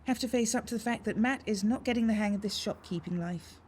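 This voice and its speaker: An actress playing a worried, apologetic shopkeeper in a radio soap. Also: female voice, fairly high pitch, quick pace, a little mellow, breathy edge.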